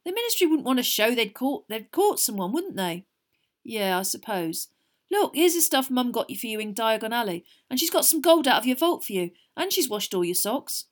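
A woman reader acts out a teenage boy from a storybook. He is blunt and offhand, grumbling with lively movement in his pitch. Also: normal speed.

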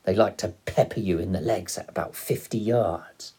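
A man's voice: low-pitched, normal speed, gravelly, warm tone, slightly rough, full character voice. A man voicing a roguish, gleeful father who tells stories with dramatic relish in an audiobook. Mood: mischievous, emphatic. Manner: exclamatory, relishing, dramatic delivery. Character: roguish, gleeful father, fond storyteller of poaching.

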